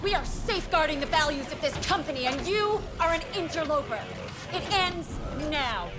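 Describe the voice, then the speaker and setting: A woman's voice: sits high, lively intonation, normal speed, a loud crowd, heavy background noise. A woman playing a furious, fanatical corporate enforcer in a video game, declaiming with zeal. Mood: fanatical fury. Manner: zealous, declamatory shouting. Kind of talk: dramatic video game acting.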